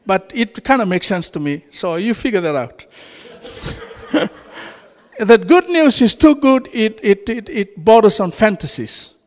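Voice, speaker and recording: A man with a Fijian accent, a native Fijian speaker, preaching an informal sermon in an animated way and laughing often. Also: sits high, measured pace. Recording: reverberant hall.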